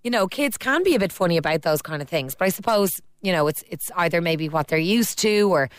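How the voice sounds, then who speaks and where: A woman's voice: on the low side, lively intonation, a little fast, no echo, clean studio sound. Chatty Irish woman radio host. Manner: chatty, teasing, reads out listener texts. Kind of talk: phone-in radio chat. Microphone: studio mic.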